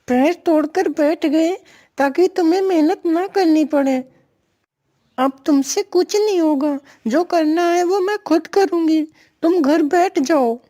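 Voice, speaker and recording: Woman doing a very high-pitched scolding mother parrot. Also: takes it slowly. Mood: scornful, angry. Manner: sharp-tongued, nagging. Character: scolding, impatient female parrot, a wife and mother. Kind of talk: animated moral story character dialogue.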